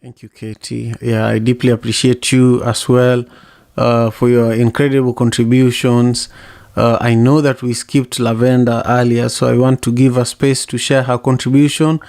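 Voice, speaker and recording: A male host speaks in a steady, monotone, gravelly voice. He moderates an online discussion, calling on speakers with frequent uhs and repeated words. The sound is dry and close. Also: mid-range voice, moderate pace, no room sound.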